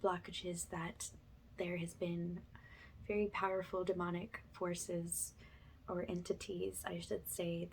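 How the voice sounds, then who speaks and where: A woman speaking softly and breathily, often slipping into a whisper, as she gives slow, soothing, halting guidance in an ASMR energy healing style. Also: fairly low pitch, a little hiss or hum, rough recording.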